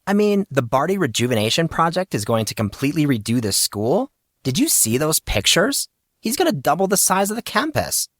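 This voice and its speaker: A man's voice: on the high side, big pitch swings, a little fast, a bit bright, no echo, clean studio sound, pitched above the performer's own voice. A man voicing an irritable, sneering teenage rich girl with a snappy, dismissive manner in an audio story. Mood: agitated.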